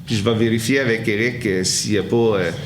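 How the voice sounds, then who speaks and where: A man's voice: on the low side, steady tone, a little fast, echoey room, noisy background. A man with a Québécois French accent chairing a municipal council meeting, answering questions with many fillers and restarts.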